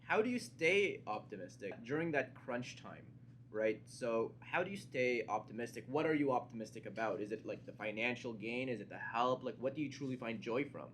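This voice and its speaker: A man hosting a relaxed interview, his voice sitting at an ordinary middle-low pitch. He talks in a chatty, rambling way and laughs easily. A faint background noise runs under the recording. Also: a little fast. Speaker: host, interviewer. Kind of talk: casual interview.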